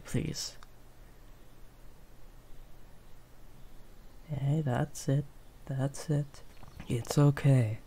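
A man voicing a gentle, protective werewolf in an improvised comfort roleplay, speaking softly, soothingly and a little hesitantly. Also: mid-range voice, creaky. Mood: soothing, careful. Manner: soft, soothing, hesitant, often near whispering. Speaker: roleplay audio performer.

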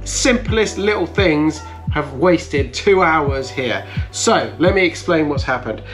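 A man's voice: fairly high pitch, expressive, slow, over music. A man in his thirties hosting a casual restoration vlog, explaining things step by step in a hands-on way. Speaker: host of a car restoration channel. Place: bright plant-filled conservatory at a table.

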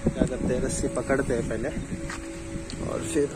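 A man's voice: medium pitch, little pitch movement, moderate pace. Chatty young man vlogging outdoors. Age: young adult. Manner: chatty, leans on filler "matlab". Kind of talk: casual walking vlog narration. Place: outdoors on a rocky seashore. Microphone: phone camera held at arm's length. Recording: loud music bed under voice.

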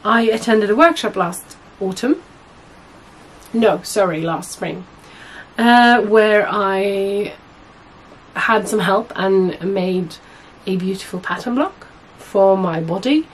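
A young woman with a husky voice, hoarse from a cold, chatting to camera as a sewing vlogger in a small room at home, rambling and correcting herself often. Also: medium pitch, slow, slight background noise, poor audio. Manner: chatty, rambling, many fillers and self-corrections. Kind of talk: casual chat to camera.

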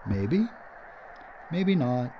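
A man's voice: medium pitch, sing-song, very slow, muffled recording, noisy background. An actor playing a blunt, wry, fatalistic male filmmaker making a last stand in a radio horror drama.

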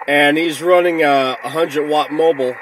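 A man with a slightly high voice works as a ham radio operator while filming himself. He switches between radio call phrasing and casual narration, speaking inside a car into a handheld camera. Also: steady tone, measured pace, crowd noise, rough recording. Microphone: handheld camera or phone.

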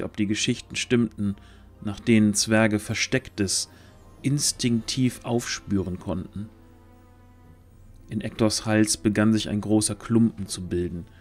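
A man narrating a scripted fantasy story as the narrator of an audio drama. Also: fairly low pitch. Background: background music.

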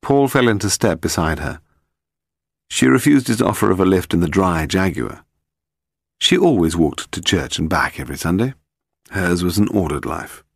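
A British man narrating a detective novel in a measured, literary storytelling manner. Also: sits low, very animated, creaky, husky, no room sound, studio quality. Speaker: audiobook narrator.

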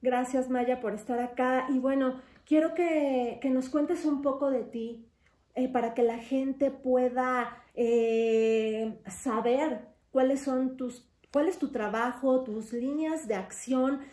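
A woman's voice: high-pitched, fairly even intonation, slow pace, warm tone. A young woman hosting an interview, asking long, thoughtful questions at a desk mic. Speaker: interview host. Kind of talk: conversational interview. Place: studio room with wood panels and glass. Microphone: desk mic on stand. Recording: echoey room, mic at a distance.

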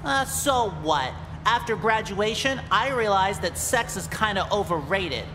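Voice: mid-range voice, expressive, unhurried, long reverb tail, noisy background, natural voice. A performer voicing a smug, defensive nerdy young man in a visual novel game, with a whiny delivery. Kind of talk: voiced visual novel acting.

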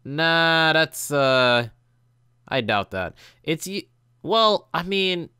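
A man's voice: a little high, very animated, extremely slow, sharp tone, smooth, no echo, studio quality. A young man doing casual gameplay commentary, reading story text aloud and reacting informally. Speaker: let's player. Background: game music.

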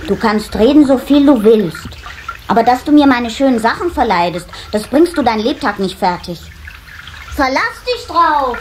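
A woman's voice: a little high, animated, normal speed, close to an everyday voice. An actress playing a stubborn, cheeky little water sprite boy in a children's radio play. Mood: defiant. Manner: cheeky, defiant. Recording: slightly noisy old cassette transfer.

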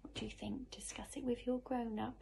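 A woman's voice: on the high side, fairly quick, creaky, a little hiss or hum. A woman, likely in her thirties, telling a picture-book story expressively to young children and putting questions to them. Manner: animated, asks the listener questions. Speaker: teacher reading a picture book. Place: living room sofa at home. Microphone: phone camera a short distance away.

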